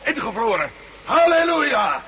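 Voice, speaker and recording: An actor playing a defiant, sarcastic captive hero in a science-fiction radio drama. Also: male voice, extremely high pitch, very animated, measured pace, bright, forceful tone, breathy edge, lo-fi sound, full character voice. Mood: indignant. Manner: challenging, sarcastic. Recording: faintly noisy old radio recording.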